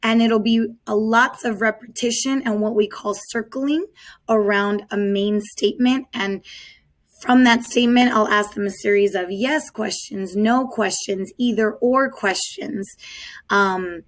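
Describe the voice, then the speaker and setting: A woman in her thirties, a high school Spanish teacher, talking earnestly to parents in a recorded welcome, with many ums and restarts. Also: fairly even intonation, a little slow.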